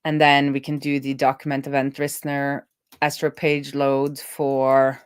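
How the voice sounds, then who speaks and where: A woman's voice: very deep voice, completely flat delivery, unhurried, smooth. A woman live-streaming as a web developer, casually thinking aloud as she talks through each coding step. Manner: thinking aloud, talking through steps to herself.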